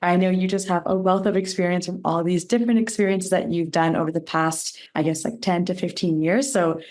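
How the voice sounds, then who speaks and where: Upbeat woman podcast host, lower voice. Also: fairly even intonation, a little fast, a little mellow. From India. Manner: upbeat, chatty, lots of "like" and "really". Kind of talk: interview hosting.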